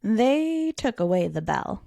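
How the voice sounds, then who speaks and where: A woman reading a children's picture book aloud as a storytime reader, theatrical, with dramatic pauses and stretched words for emphasis. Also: medium pitch, sing-song, vocal fry, dark tone, no room sound, studio quality.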